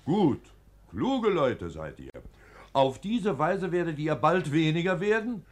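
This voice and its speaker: A man's voice: fairly high pitch, very animated, takes it slowly. A man playing a sardonic, scolding Renaissance storyteller who blusters theatrically in a 1940s German radio play.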